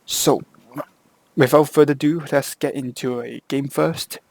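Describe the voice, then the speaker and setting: A man's voice: mid-range voice, fairly even intonation, gravelly, no room sound. A man from Hong Kong, a native Cantonese speaker with a Hong Kong English accent, narrating a game tutorial and often restarting and correcting himself. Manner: halting, with frequent restarts and self-corrections. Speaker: gameplay guide creator.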